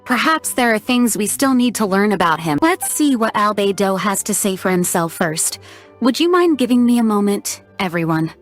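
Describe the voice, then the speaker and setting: A dutiful young woman who commands knights in a fantasy game, acted by a woman. Her voice is medium in pitch, formal and measured, over faint music. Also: sing-song, close to an everyday voice. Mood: grave. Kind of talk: English video game voice acting.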